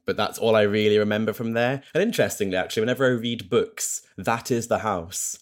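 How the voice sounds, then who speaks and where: A man in his twenties with a soft, posh Scottish accent, chatting as a podcast guest in a playful, self-deprecating way over a video call. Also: fairly low pitch, a little fast. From Scotland. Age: 20s. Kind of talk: casual interview chat.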